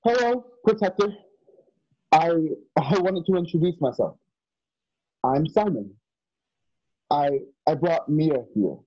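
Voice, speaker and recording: A man playing an earnest, anxious young man in a radio drama, with a husky voice and a hesitant, stammering delivery. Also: fairly high pitch, expressive, slow pace, long pauses, mellow, no echo. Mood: polite, eager. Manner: hesitant, stammering, repeating words. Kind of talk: online radio drama acting. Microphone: video call, home setup. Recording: dull lo-fi video call.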